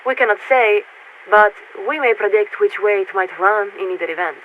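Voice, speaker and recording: A woman playing a polite, formal military radio signaler in a video game, speaking in a measured, patient, officious way through a radio filter. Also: mid-range voice. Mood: patient explaining. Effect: radio transmission filter. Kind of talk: scripted game voice acting. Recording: tinny narrow-band radio with static.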